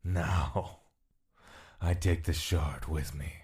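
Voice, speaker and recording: Guy doing a cool detached spirit in an audio drama, really low voice. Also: extremely slow, a little mellow, exaggerated voice. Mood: aloof.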